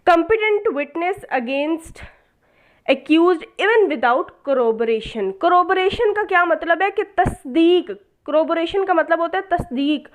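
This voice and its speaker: A woman's voice: very high voice, expressive, takes it slowly. A woman with a Pakistani accent lecturing as a law tutor, explaining step by step with rhetorical questions, repetition for emphasis and English legal terms mixed in. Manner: didactic, repeats key points for emphasis.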